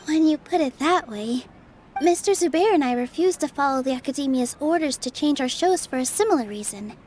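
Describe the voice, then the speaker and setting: High-voiced, gentle young dancer. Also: female voice, lively intonation, brisk, a little hiss or hum. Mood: sincere, warm. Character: gentle, earnest young female theater dancer. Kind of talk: scripted game character dialogue.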